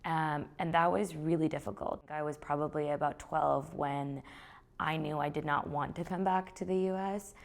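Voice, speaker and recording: Young woman founder talking about her career, really low voice. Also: normal speed, creaky. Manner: relaxed, conversational. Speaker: clothing company founder. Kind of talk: interview, personal story.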